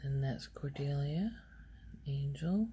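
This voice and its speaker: A soft-spoken woman rambling in a halting, unhurried ASMR style, her quiet voice often close to a whisper. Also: slight background noise. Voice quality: soft, low-volume voice, often near whisper. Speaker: ASMR video host.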